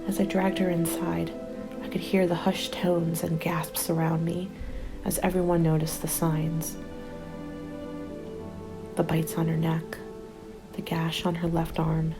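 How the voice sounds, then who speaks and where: A woman playing a haunted, determined zombie apocalypse survivor in an ASMR roleplay, speaking in a soft, breathy half-whisper with an intimate, deliberate delivery. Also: low-pitched, monotone. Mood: grieving, bleak. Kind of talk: ASMR roleplay, dramatic monologue. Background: background music.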